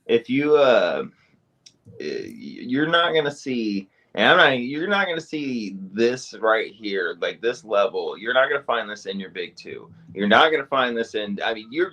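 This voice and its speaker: A man's voice: mid-range voice, sing-song, moderate pace, fairly bright tone. A man co-hosting a casual podcast chat who rambles, restarts often and swears freely. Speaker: podcast co-host.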